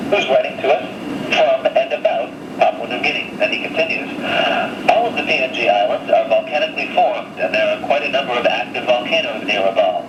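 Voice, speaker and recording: A male radio presenter with a mid-pitched voice reads in a measured, carefully enunciated way. He comes through a shortwave broadcast with clear noise over it and a noticeable echo around the words. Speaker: radio host. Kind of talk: reading a listener's letter on air.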